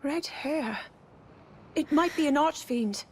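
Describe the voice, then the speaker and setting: A woman playing an earnest, gentle and resolute young princess in a video game. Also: high voice, takes it slowly. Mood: earnest, curious. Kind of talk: video game cutscene voice acting. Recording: music behind the voice.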